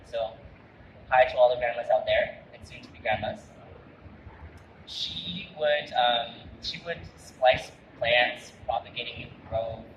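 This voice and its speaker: A man who grew up in Canada, telling a personal food story in an earnest, reminiscing way with frequent restarts. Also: mid-range voice. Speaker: chef giving a talk. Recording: roomy, distant mic with loud background noise.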